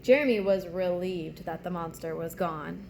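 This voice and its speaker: A woman's voice: lowish voice, normal speed, creaky, darkish tone. A woman elementary teacher reading aloud and explaining things simply to young children. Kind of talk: children's read-aloud and writing lesson. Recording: faint background noise.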